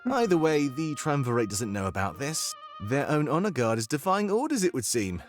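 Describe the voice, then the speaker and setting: A man voicing a warm, cocky and informal rebel general in a visual novel. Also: mid-range voice, sing-song, moderate pace, soft background music, natural voice. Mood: assured.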